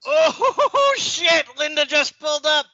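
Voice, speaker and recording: Comic audio play: crude, giggly male slacker acted by a man, extremely high, sharp, lo-fi recording. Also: big pitch swings, slow pace, no room sound, heavily acted. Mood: goofy, excited. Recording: dull lo-fi audio.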